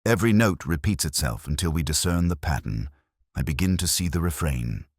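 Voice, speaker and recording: A man's voice: rumbling low, expressive, brisk, dry recording, studio quality. A man voicing a grave, incisive detective in an audiobook, terse, cryptic and commanding. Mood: brooding, musing. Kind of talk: audiobook character acting.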